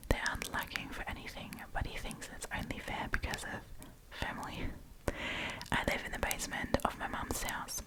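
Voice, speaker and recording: A woman hosting an ASMR storytime in a breathy, unvoiced whisper, reading online stories aloud with chatty, informal asides, close to the microphone. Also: moderate pace, low-quality recording. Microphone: close propped-up microphone.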